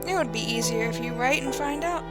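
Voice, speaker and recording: An actress playing a calm, mysterious spirit medium who speaks in a measured, ominous way in a vintage-style radio drama. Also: female voice, a little high, natural voice. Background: music.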